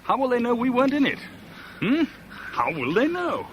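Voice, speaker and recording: Tense escape organiser, brisk and insistent, very animated delivery, noisy old radio drama recording. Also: male voice, very high-pitched, lo-fi sound, full character voice. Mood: brisk, planning. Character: tense, commanding male escape organiser, clipped and impatient. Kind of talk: 1970s radio thriller acting.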